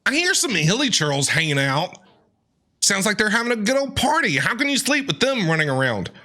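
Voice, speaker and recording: A man's voice: sits high, big pitch swings, brisk, pushed and bright. A man giving casual, wry commentary as he plays a video game, with joking asides. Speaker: streamer reacting to a video game.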